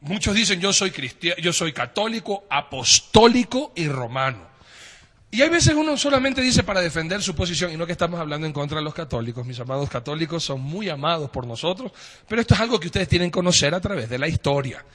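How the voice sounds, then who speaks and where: A man's voice: fairly high pitch, animated, fast, fairly bright tone. A man hosting a casual discussion, emphatic and posing pointed questions. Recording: long reverb.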